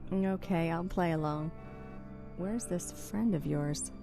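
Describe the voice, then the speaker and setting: Streetwalker in a video game, lowish voice, flirty but wary, music behind. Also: female voice, slow, gravelly, warm tone. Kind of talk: video game character acting.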